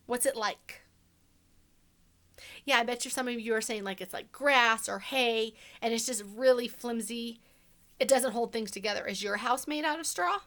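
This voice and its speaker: A woman reading a storybook aloud to children as a teacher, pausing to explain simply and ask the young listeners questions. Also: fairly high pitch, fairly bright tone.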